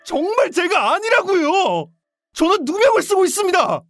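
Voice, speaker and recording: Accused ballplayer, indignant, pleading, emphatic, lively husky voice, audio drama. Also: male voice, high-pitched, moderate pace, no echo, soft background music, exaggerated voice. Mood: desperate. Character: indignant male baseball player accused of murder.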